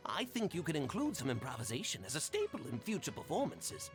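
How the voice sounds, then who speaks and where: An actor playing a fatherly older gentleman in a fantasy game, speaking in a courtly, deliberate, avuncular way. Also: a little high, wildly swooping pitch, music in the background. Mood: genial, approving. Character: fatherly old mentor and genteel patron, an older gentleman. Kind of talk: theatrical fantasy video game dialogue.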